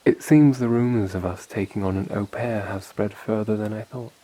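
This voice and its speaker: A man playing a stern, coolly commanding vampire of an old family in an ASMR roleplay, speaking in a measured, formal, authoritative way. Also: on the low side, fairly even intonation, mellow, natural voice, low-quality recording. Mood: composed, firm.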